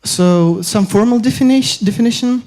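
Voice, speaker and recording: A man's voice: high voice, darkish tone, rough recording. Young Ukrainian man giving a talk. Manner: halting, many filler pauses and restarts. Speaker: conference speaker. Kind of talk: academic conference talk.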